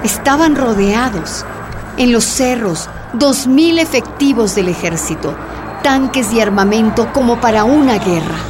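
A woman's voice: fairly high pitch, expressive, measured pace, noisy background. A woman narrating a scripted radio drama in a grave, dramatic storytelling manner.

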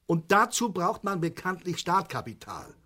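A middle-aged family man in a radio play, with a rough-edged, husky voice that rises and falls dramatically. He nags, exclaims and stumbles grandly over his dreams of riches. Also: high voice, very animated, normal speed, bright, forceful tone, full character voice. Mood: grandiose grumbling. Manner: nagging, exclamatory, stammering over grand lists. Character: blustering middle-aged father, greedy dreamer, reproachful and grandiose. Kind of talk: naturalistic radio play acting.

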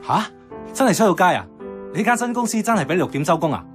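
A man's voice: high voice, sing-song, fast. A man playing a sceptical, argumentative ordinary working man in a radio drama, with a Hong Kong accent. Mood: incredulous. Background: music.

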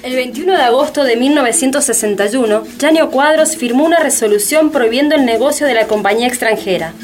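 A woman's voice: high voice, fast talker, natural voice. A woman narrating scripted documentary radio theatre, with guitar music behind her.

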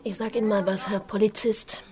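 An actress playing a defiant animal-rights activist who waits tables, curt, combative and sarcastic, in a naturalistic radio drama. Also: female voice, mid-range voice, level tone, fairly quick, a little mellow, lightly acted. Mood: indignant.

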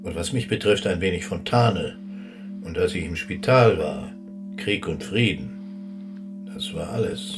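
The ghost of an aristocratic German general in a radio play. He is curt, dry and sceptical, with a wry reserve. His ordinary male pitch sits over clearly heard music. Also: measured pace, long pauses. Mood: curt, dismissive.

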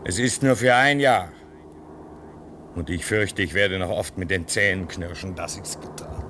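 An actor playing a stoic, self-denying man at a lonely outpost in a German radio play, earnest and measured. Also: low voice, bright, forceful tone. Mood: grave, resigned. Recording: radio play with background noise.

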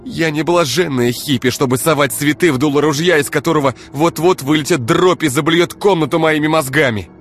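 A man voicing a fierce, sharp-tongued young woman who shrieks when angry. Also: lively intonation, brisk, faint music behind, close to an everyday voice. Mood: furious. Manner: shrill, shrieking when enraged.

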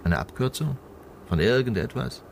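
An actor playing a sober investigator, asking measured, formal questions in a naturalistic radio drama. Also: male voice, medium pitch, lively intonation, vocal fry, darkish tone, slight background noise. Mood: probing. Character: male investigator, sober and probing.

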